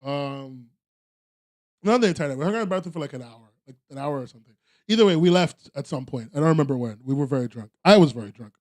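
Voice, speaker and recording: A man's voice: a little high, animated, fast, darkish tone, dry recording, clean studio sound. A British man hosting a podcast, chatting casually in a rambling, laughing, self-deprecating way. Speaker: podcast host. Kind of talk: casual chat.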